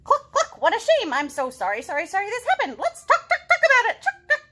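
A busybody picture-book hen, extremely high and loud. It clucks and chatters, repeating words in fussy sympathy with big swoops of pitch. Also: female voice, huge pitch swings, a little fast, full character voice.

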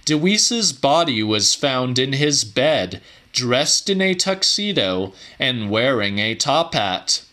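A man reading a horror audiobook in a measured, theatrical way. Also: medium pitch, sing-song, bright, forceful tone. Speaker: audiobook narrator. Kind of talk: dramatic horror audiobook narration.

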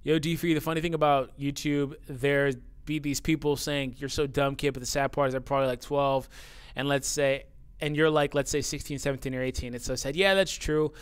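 A man's voice: mid-range voice, steady tone, a little fast, smooth. A man who says his voice sounds young, talking casually and bluntly as he reads out viewer comments, swearing often and getting heated. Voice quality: youthful-sounding voice. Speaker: YouTuber reading viewer comments. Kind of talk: casual talk to camera.

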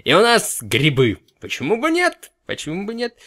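A man's voice: sits high, huge pitch swings, normal speed, a bit bright, exaggerated voice. A man voicing a mocking, gleeful triangle demon from a visual novel, taunting and theatrical. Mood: gleeful, sly.

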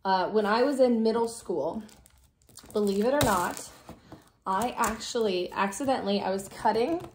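A woman in her thirties hosting a casual vlog, raspy and low on energy from a cold, chatting with many fillers in her living room. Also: normal speed, noisy background. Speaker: vlog host. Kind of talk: casual chat to camera.

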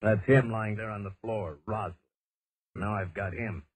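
Low-voiced brisk undercover government agent. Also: male voice, steady tone, husky. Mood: businesslike. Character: brisk, authoritative male undercover Treasury agent. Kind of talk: old-time radio drama acting. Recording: noisy old radio recording.

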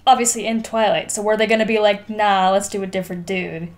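A woman's voice: medium pitch, little pitch movement, fairly quick. A woman co-hosting a podcast, chatting casually and reacting in informal, slangy language. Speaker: podcast co-host.

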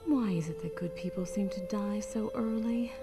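An actress playing a gentle, weary old wife in a video game, sorrowful and soothing, in a breathy near-whisper. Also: female voice, mid-range voice, moderate pace, loud music behind the voice, natural voice.

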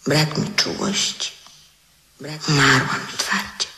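A hurt wife's voice from memory in a radio play. It is tense and echoing, reproachful, with each phrase repeated like an incantation. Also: female voice, low-pitched, expressive, slow pace, gravelly, bright, forceful tone, full character voice. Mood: reproachful, despairing. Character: remembered voice of a hurt, reproachful wife. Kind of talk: 1970s Polish radio drama acting. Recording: echoing radio drama voice effect.

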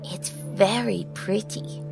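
Woman acting prim little girl, ancient soul, proper old-fashioned phrasing, creaky edge, audio drama, faint music behind. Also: mid-range voice, slow pace, low-quality recording. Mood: curious. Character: ancient girl in a nine-year-old's body, prim, precocious.

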